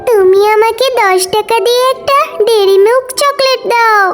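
A woman's voice: very high-pitched, moderate pace, music in the background. A woman voicing a whiny, pleading little animal girl in a children's cartoon. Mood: polite, then protesting.

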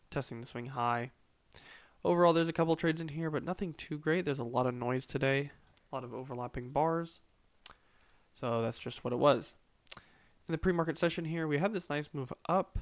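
A young man talks through a screen-recorded chart review, his voice slightly stuffy from a cold. His pitch sits in the middle range, and he explains at a steady pace with frequent ums. The recording is dry and close, with no room sound. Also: dry recording. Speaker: trading channel host.

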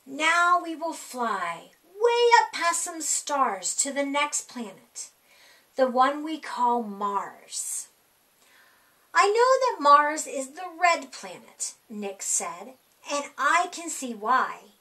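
A middle-aged woman reading a rhyming children's picture book aloud in an animated, sing-song, deliberate way for young kids. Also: high-pitched. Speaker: library storytime reader. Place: small indoor room.

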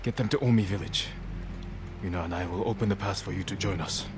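An actor playing a resolute young samurai lord in a video game cutscene, speaking low and earnest with clipped urgency. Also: male voice, fast, background noise, close to an everyday voice. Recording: game score behind the voice.

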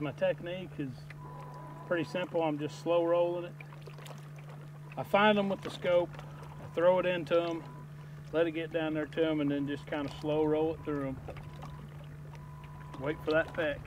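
A middle-aged man fishing from a small boat on a lake, talking to his viewers in a folksy, casual way and getting excited when he lands a fish. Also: fairly high pitch, normal speed, long pauses. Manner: folksy, excited bursts while landing fish. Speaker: fishing vlogger. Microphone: wide-angle camera mounted at the boat's stern. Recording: outdoor boat recording with wind and water noise.

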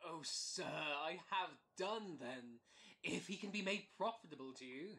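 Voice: mid-range voice, lively intonation, measured pace, pushed and bright. An actor playing a scheming, mock-courtly, goading swindler in an early modern comedy audio drama. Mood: smoothly flattering. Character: scheming, fast-talking con man flattering gentlemen, bullying merchant.

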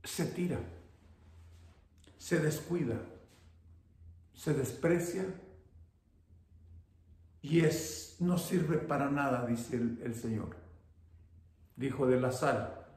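A man in his fifties, a pastor with a northern Mexican Spanish accent, preaching slowly and emphatically from his home, repeating key words. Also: medium pitch, darkish tone. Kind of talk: sermon. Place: home living room, indoors. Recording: roomy echo.

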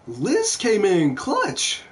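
A man's voice: high-pitched, wildly swooping pitch, very slow, a bit bright. A young man giving casual, laid-back gameplay commentary with self-deprecating, sweary remarks, recorded at home on a headset. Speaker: video game streamer. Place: indoor room at home. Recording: clearly noisy home stream recording.

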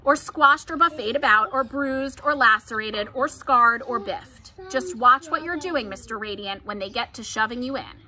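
Woman doing a bright, fussy grumbling rat. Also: a little high, moderate pace, few pauses. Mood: indignant, fussy. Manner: pompous, piling up wordy complaints. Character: fussy, self-centred, grumbling male rat.

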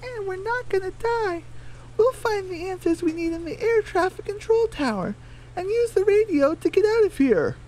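A performer voicing a plucky little female cartoon chicken with a squeaky voice in a radio drama. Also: animated, moderate pace, a little mellow, poor audio. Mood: determined.

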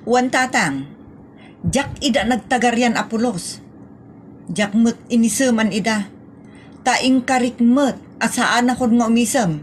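A sassy, stubborn grown child with an Ilocano accent , acted by a woman in a comedy drama. The voice sits high. The delivery is defiant and emphatic, full of exclamations. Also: measured pace, long pauses, slight background noise, natural voice, poor audio. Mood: indignant.

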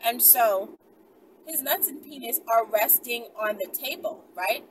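A young adult woman chatting casually to her viewers like a vlogger, animated and conversational, often checking in with short tags. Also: a little high, unhurried. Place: inside a car in daylight. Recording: distant mic, noisy car interior.

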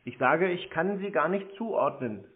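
A man's voice: fairly high pitch, lively intonation, a little slow. A man chatting casually on the phone, terse and dry, stalling the other side. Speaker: scam-baiter taking scam calls. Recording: dull lo-fi phone call audio.

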